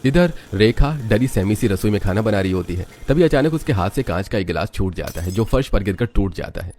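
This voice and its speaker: A man's voice: fairly low pitch, animated, a little fast, a little mellow, slight background noise. A man narrating a scripted dramatic story, with music underneath.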